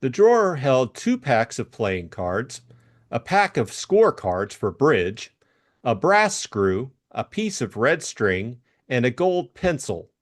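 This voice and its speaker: A man's voice: mid-range voice, big pitch swings, slow. A man narrating a classic detective novel and discussing it as co-host. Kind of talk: scripted story narration and book discussion.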